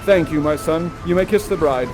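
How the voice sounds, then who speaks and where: A man's voice: a little high, normal speed, music in the background, lightly acted. A man playing a grand, playful sorcerer father who talks straight to the audience in a hammy, exuberant way. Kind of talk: interactive theatre performance.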